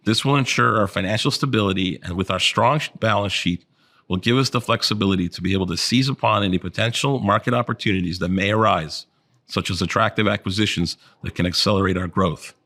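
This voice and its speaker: Male finance executive, lowish voice, formal scripted earnings presentation, measured corporate delivery. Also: pushed and bright. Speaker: chief financial officer presenting results.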